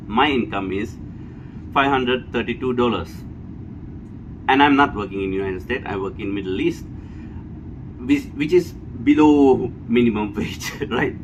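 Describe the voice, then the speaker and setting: A man's voice: fairly low pitch, poor audio. A man with a Sri Lankan accent giving an unscripted, blunt opinion monologue, emphatic and repetitive, often checking for agreement. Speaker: vlogger giving personal opinion. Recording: clear steady background noise, air-conditioner hum.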